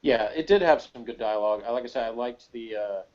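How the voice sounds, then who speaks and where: Male review co-host, loose and rambling conversational delivery, mid-pitched, dull recording. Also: brisk, darkish tone. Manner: rambling, hesitant, informal phrasing with frequent "like" and "you know". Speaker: podcast co-host reviewing a TV episode. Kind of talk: casual review chat.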